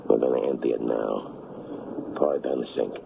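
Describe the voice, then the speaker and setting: An actor in a radio drama playing a gruff, scheming drug smuggler with clipped, slangy tough talk. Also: male voice, very deep voice, fairly even intonation, moderate pace, full character voice. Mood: sly, confident. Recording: scratchy narrow-band vintage radio recording.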